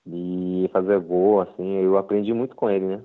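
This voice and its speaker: Interviewed footballer: Japanese-accented man, low voice, halting non-native Portuguese over a thin phone connection. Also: little pitch movement. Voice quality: halting, simple Portuguese with many fillers. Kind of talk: casual interview. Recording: tinny phone-line sound.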